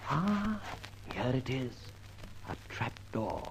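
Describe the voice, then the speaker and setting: A flippant gentleman adventurer in a vintage radio detective serial. His mid-pitched voice swoops theatrically from line to line, playful and wordy. The old recording carries faint noise. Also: very animated, slow pace. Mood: alert, hushed. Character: flippant, dashing gentleman adventurer, the Grey Goose. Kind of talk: old-time radio detective acting and narration.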